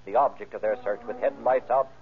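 A man's voice: fairly low pitch, brisk, creaky. A man narrating a scripted old-time radio crime drama in a formal, dramatic style. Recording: tinny old radio recording with music.

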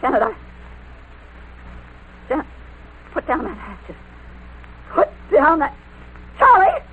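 A shrill, domineering mother, wildly swooping voice. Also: wildly swooping pitch, slow, full character voice. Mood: terrified, pleading. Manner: nagging, repeats herself emphatically. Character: shrill, domineering, scolding mother of a teenage daughter. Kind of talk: old-time radio melodrama acting. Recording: tinny, noisy vintage radio recording.

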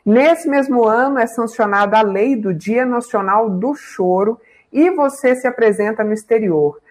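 A woman TV presenter who reads a scripted introduction in a clear, measured way, then interviews a guest. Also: a little high, darkish tone.

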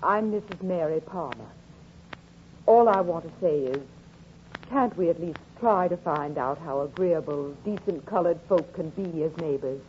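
A woman's voice: deep voice, normal speed, lightly acted. An actress playing a polite, earnest townswoman making an appeal in 1940s radio drama style. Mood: earnest, hesitant. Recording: dull, noisy 1944 radio recording.

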